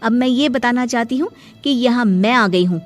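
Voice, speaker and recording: A woman voicing a sharp, impatient young daughter-in-law in a cartoon moral story, with a complaining, pointed manner. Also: fairly high pitch, moderate pace, soft background music, natural voice. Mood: defiant.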